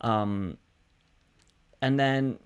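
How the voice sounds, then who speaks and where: A man with a medium-pitched voice talks casually as a podcast co-host. His delivery is halting, with sentences that double back and get rephrased along the way. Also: smooth. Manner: halting, self-correcting. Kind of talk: casual conversational chat.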